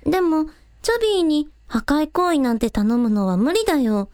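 A woman's voice: sits high, big pitch swings, moderate pace, darkish tone. A woman playing a plucky, practical young village woman in an amateur radio drama, talking casually and matter-of-factly. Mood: pragmatic, resigned.

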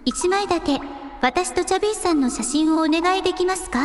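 A woman voicing a gentle, earnest young shrine maiden who speaks in very polite, measured Japanese radio drama style. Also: extremely high pitch. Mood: polite, calm. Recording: long reverb with music behind.

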